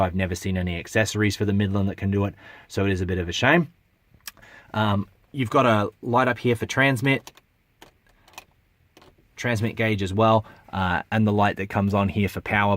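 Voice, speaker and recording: Low-voiced man, casual product reviewer. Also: a bit bright, dry recording. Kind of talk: casual unscripted review to camera.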